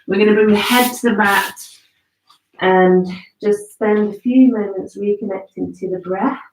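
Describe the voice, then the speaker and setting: Female yoga instructor, slightly stuffy nose, measured guiding cues with casual asides, recorded in a roomy space away from the mic. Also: medium pitch, steady tone. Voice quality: slightly congested, bunged-up nose. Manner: measured, pausing between cues, conversational asides. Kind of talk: live guided yoga class, instructional talk. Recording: roomy echo, distant from the mic.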